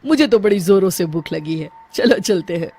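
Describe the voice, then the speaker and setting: An actor playing an earnest inventor scientist with a declamatory manner in an animated Hindi story. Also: male voice, high voice, normal speed, husky, exaggerated voice. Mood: pleased. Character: earnest scientist inventor, proud then worried. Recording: faint background noise.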